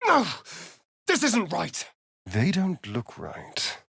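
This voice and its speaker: A vain, sly vampire spawn for a video game. His delivery is slow and swoops widely in pitch, every phrase arch and sardonic. The sound is close and dry, giving his theatrical drawl full play. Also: huge pitch swings, gravelly, bright, forceful tone, breathy edge, no room sound, heavily acted. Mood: hushed, dramatic. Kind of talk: video game character voice lines.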